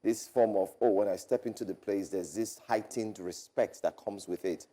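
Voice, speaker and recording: A man's voice: on the low side, normal speed, mellow. A man co-hosting a morning talk show, chatting loosely with many fillers and then reading out the headlines. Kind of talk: casual studio chat and headline reading.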